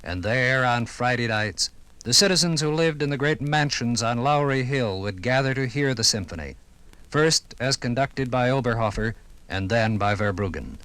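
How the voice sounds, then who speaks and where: An American man reading scripted 1950s radio documentary narration in a formal, measured, authoritative manner. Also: medium pitch, fairly bright tone, dry recording. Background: music.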